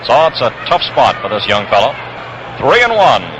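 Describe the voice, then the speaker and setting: An American man calling baseball play-by-play on 1960s radio, measured, with suspenseful pauses, on a noisy old broadcast recording. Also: medium pitch, very animated, dull audio. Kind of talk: 1960s radio sports commentary. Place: ballpark broadcast position. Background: crowd hum, noise.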